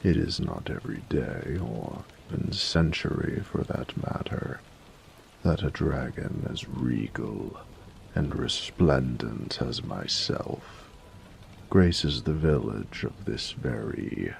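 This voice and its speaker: A man voicing an ancient, regal dragon in a romantic audio roleplay, doting and flirtatious, with gravelly, breathy, often near-whispered, grandiose and formal speech. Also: extremely low pitch, expressive, a little hiss or hum, exaggerated voice. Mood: fond, playful. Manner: grandiose, formal, measured phrasing. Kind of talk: romantic audio roleplay, slow intimate monologue.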